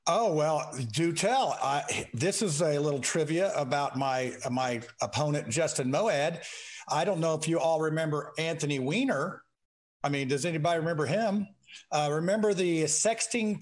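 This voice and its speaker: A man from the United States chatting informally as a political candidate on a call-in show, folksy, halting and joking. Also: on the high side. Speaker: state house candidate and talk show guest.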